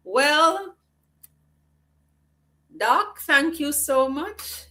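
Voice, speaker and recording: A woman's voice: sits high, lively intonation, drawn-out words, pushed and bright. Upbeat woman hosting a live summit. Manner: upbeat, reads chat comments aloud. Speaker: summit host.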